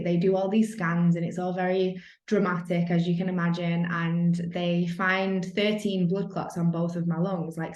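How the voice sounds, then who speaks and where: A woman's voice: on the low side, a little mellow. A woman, telling her story as an interview guest in a chatty way, full of "like". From Manchester, England. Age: 30s. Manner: chatty, lots of "like", acts out her own thoughts. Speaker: guest, personal trainer and nutritionist. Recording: roomy echo.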